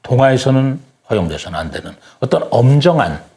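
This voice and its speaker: An older man speaking as an interview guest, giving his opinions earnestly in a deliberate, formal and polite way. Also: mid-range voice, big pitch swings, darkish tone.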